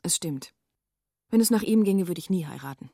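An actress playing a sheltered rich young daughter in a dubbed 1950s thriller, ironic and teasing. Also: female voice, fairly low pitch, expressive, moderate pace, vocal fry, mellow, no room sound, clean studio sound.

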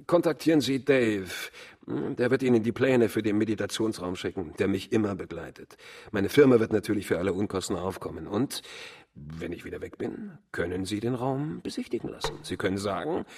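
A low, husky, imperious dying tycoon. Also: male voice, expressive, fairly quick, a little mellow. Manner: demanding, disgusted, theatrical emphasis. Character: dying tech tycoon, imperious, fastidious, scornful. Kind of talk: radio drama inner monologue.

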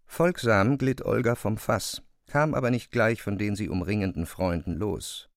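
Male narrator for literary radio play, low register, restrained and measured.

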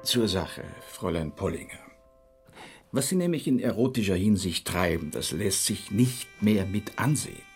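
An actor playing a glib, self-important bohemian dental technician in a radio play, speaking pompously in affectedly refined, lecturing phrases. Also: male voice, lowish voice, lively intonation, normal speed, soft background music, lightly acted. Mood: lecturing, reproachful.

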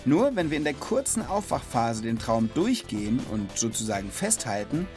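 A man's voice: mid-range voice, very animated, moderate pace, a little mellow, faint music behind. A man hosting a children's podcast, asking questions in an informal, chatty, conversational way. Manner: informal, chatty, reacts with short interjections.

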